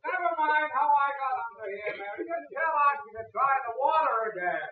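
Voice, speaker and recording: High-strung exasperated dad, tinny old radio. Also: male voice, expressive, brisk, a crowd in the background. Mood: stubborn, irritated. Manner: blustery, insistent, shouting often. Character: exasperated, stubborn middle-aged father and husband. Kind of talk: 1940s radio comedy acting. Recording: tinny, narrow 1940s radio recording.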